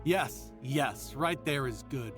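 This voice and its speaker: A man's voice: medium pitch, slow pace, a bit bright. An actor playing a domineering, possessive older man who has just bought a prized captive. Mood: pleased. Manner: commanding, possessive. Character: possessive older male collector, domineering and cold. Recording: music bed behind.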